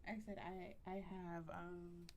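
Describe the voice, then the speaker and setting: A woman streaming a video game from home, chatting casually with a soft voice close to her microphone, with lots of ums. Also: lowish voice, flat delivery, measured pace, slight background noise. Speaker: livestreamer. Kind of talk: casual gaming livestream chat. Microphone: close to a desk microphone.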